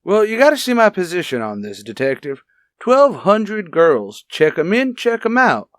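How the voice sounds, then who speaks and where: A man playing a defensive, fast-talking union official with hedging, repetitive patter in a radio detective drama. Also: high voice, big pitch swings, no echo, clean studio sound. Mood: flustered. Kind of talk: old-time radio detective drama acting.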